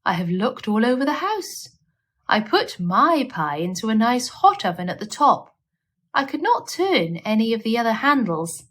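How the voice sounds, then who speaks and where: A woman's voice: a little high, very animated, moderate pace, a bit bright. Woman reading as a scheming genteel little lady dog. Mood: puzzled.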